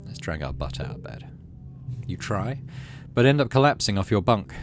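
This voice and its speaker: A man narrating a story game aloud as a gaming host, expressive and measured, with casual asides. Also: on the low side, music in the background. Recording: clearly audible background noise.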